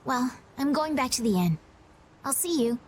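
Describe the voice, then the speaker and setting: An actress playing an earnest, gentle young noblewoman in a video game, sincere and reflective in manner. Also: high-pitched, lively intonation, normal speed, a little hiss or hum. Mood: shy, hopeful. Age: young adult.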